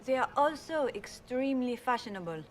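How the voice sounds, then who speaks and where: A woman's voice: high voice, lively intonation, fairly quick, a little hiss or hum. An actress playing a prim, vain lady-in-waiting in a fantasy game, formal and affected. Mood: prim, eager. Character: prim, fashion-proud masked lady-in-waiting. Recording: faint background ambience.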